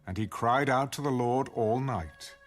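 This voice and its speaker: A man's voice: mid-range voice, measured pace, natural voice. A man playing the narrator of a biblical story in a dramatized scripture reading. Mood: narrating.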